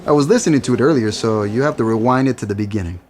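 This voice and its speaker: A man's voice: medium pitch, racing, darkish tone, a little hiss or hum, close to an everyday voice. A man playing a young record store clerk who loves goth music, with a playful, teasing way of talking. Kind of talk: audio drama acting.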